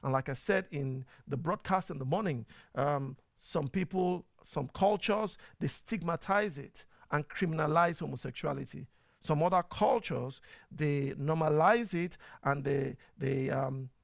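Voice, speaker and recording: This is a man with a Nigerian accent who hosts a casual online show. He sits a little high in pitch with a gravelly edge, and his voice sounds close and dry, with no room around it. He talks in a chatty way, full of fillers. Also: unhurried, no room sound. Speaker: host. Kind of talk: casual live-stream talk.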